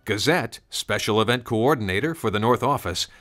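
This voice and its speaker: A man's voice: fairly low pitch, expressive, normal speed, fairly bright tone, soft background music. A man narrating a scripted story in a folksy, conversational way, with asides to the listener.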